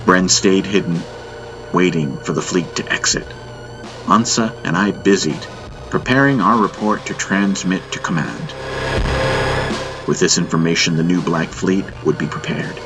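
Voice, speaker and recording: A man's voice: low-pitched, a little slow, over loud music. A man reading a science-fiction audiobook as a dramatic scripted narration.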